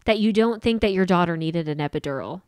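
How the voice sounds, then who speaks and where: A woman's voice: fairly low pitch, expressive, fast, darkish tone, smooth, dry recording. A woman co-hosting a podcast in casual, opinionated chat, blunt and sweary, often mimicking people's dialogue, close on a studio microphone. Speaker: podcast co-host. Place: small indoor podcast studio. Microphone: podcast microphone on boom arm, close.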